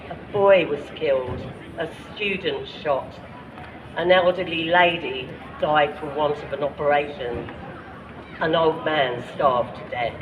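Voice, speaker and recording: Man with a London accent, high for his sex, unhurried and impassioned, performing spoken-word poetry over loud ambient noise. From Ireland. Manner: impassioned, deliberate, with pointed rhetorical questions. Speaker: poet at a public gathering.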